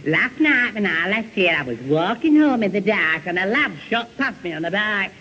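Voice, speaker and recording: A gormless, easily flustered Northern English lad in an old radio sitcom. His voice is high and piercing, and he trips over his words. The sound is dull and slightly noisy. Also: sing-song, moderate pace, sharp tone, full character voice. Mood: dry teasing. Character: dim, flustered, apologetic young lad, the family's friend. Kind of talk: 1970 radio sitcom acting. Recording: dull, faintly noisy vintage radio recording.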